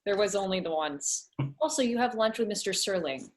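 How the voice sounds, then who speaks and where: A woman playing an efficient, firm secretary in a screenplay table read, brisk and no-nonsense. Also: mid-range voice, animated, lightly acted. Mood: businesslike. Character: efficient, firm secretary guarding her boss's schedule.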